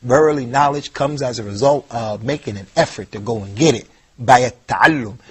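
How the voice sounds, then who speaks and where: A man's voice: mid-range voice, normal speed, husky. A man giving a televised religious lecture, speaking emphatically and repeating key points for stress. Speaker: Islamic lecturer.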